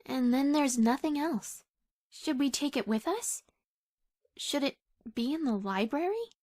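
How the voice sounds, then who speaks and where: Girl doing a high sweet young pony, curious, kinda comforting, cartoon dub. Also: animated, a little slow, darkish tone. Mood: curious, sympathetic. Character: gentle, reassuring young female pony, curious and sympathetic. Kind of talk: cartoon dialogue in a comic audio dub.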